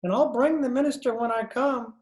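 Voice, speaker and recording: A man's voice: extremely high pitch, steady tone, a little fast, a little mellow. A man playing a groggy, sardonic fiancé in an old-time radio comedy-mystery, drawling and groaning. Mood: smugly teasing. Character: groggy, wry fiancé of a lady reporter.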